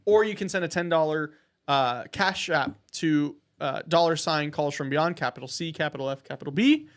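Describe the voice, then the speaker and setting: A man hosting a live call-in show, chatting casually and giving show announcements in a brisk, upbeat way. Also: on the high side. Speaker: host.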